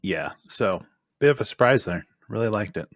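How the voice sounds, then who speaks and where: Male panelist, deep voice, informal and somewhat halting talk, close dry sound. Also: lively intonation, no echo. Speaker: podcast panelist. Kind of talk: casual chat.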